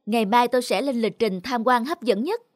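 A woman's voice: on the high side, brisk, dry recording, studio quality, close to an everyday voice. A woman voicing a polite, deferential male tour guide in a cartoon dub, with music underneath. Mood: welcoming. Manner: polite, formal presenting.

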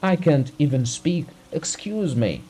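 A man's voice: medium pitch, big pitch swings, measured pace, mellow, slightly rough. A man voicing a grief-stricken, exhausted doctor in a story, refusing emphatically and irritably, with alarm in his manner.

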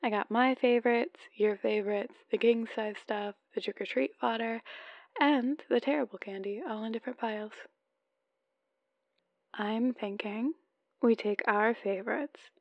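A woman's voice: fairly high pitch, fairly even intonation, unhurried, dry recording, highs cut off. A woman playing an adorkable, lovesick, playful newlywed wife leaving chatty voicemails in an ASMR roleplay. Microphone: close microphone, dry.

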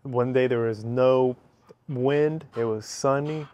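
A man's voice: medium pitch, slow, warm tone, muffled recording, slight background noise. A man in his thirties from Ecuador with an Ecuadorian Spanish accent, a native Spanish speaker, answering interview questions in short, simple sentences on an outdoor patio. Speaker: interview guest. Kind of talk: casual interview. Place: covered outdoor patio by a fireplace.

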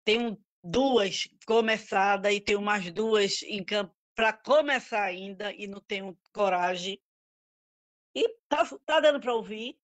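A middle-aged woman on an audio-only video call at home, talking in a halting, worried, rambling way. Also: mid-range voice. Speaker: group participant. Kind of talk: casual chat on a video call.

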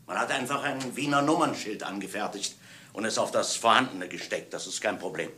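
A man playing a stern, methodical police inspector in a German radio crime drama, speaking emphatically and deliberately. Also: fairly low pitch, sharp tone, slight background noise. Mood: explaining firmly. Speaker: actor in a radio crime play.

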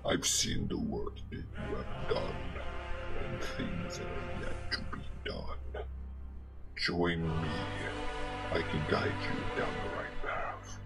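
A man voicing a sinister tempter who offers a mysterious chalice, with a slow, gravelly, menacing drawl. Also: a bass voice, lively intonation, pitched below the performer's own voice, heavily acted. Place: small home room. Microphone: studio mic on a boom stand. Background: loud dramatic music.

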